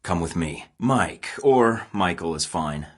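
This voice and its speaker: A man's voice: deep voice, lively intonation, slow, breathy edge, full character voice. An actor playing a wisecracking young male rogue spy in a video game, sarcastic and taunting. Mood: sardonic. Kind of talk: game voice lines.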